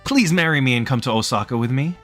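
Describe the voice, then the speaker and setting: Man playing a young male student, over-eager, earnest, job-hungry game hero, animated intonation, music behind. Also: mid-range voice, fast talker, close to an everyday voice.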